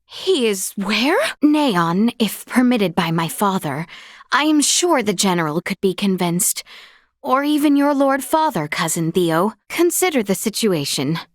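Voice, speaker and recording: A gracious young princess of a fantasy kingdom, speaks in a clear, medium-pitched voice. Her phrasing is formal, old-fashioned and measured, with an earnest politeness throughout. It is video game acting, recorded close and very dry. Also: very animated, no room sound. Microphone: close studio mic, dry.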